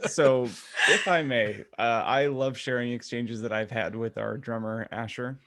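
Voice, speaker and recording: A man's voice: mid-range voice, steady tone, measured pace, a bit bright. Casual male podcast co-host, jokey. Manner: jokey, laughs while talking. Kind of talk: casual group chat, retelling exchanges.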